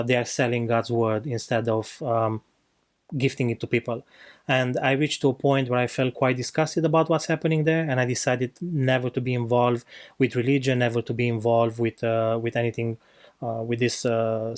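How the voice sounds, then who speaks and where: A young man from Romania with a Romanian accent, co-hosting a podcast and telling his story in an earnest, halting way with many fillers and restarts. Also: mid-range voice. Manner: earnest, searching for words with frequent fillers and restarts. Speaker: podcast co-host. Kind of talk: casual personal testimony. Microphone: video podcast mic.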